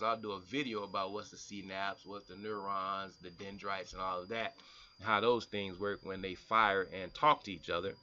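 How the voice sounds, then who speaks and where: Man, explaining science casually, animated, bright, dull lo-fi sound. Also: lowish voice, a little hiss or hum. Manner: animated, breaks from reading to comment and mimic people; repeats and restarts words while explaining. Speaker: health vlogger. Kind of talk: reading and explaining science text aloud.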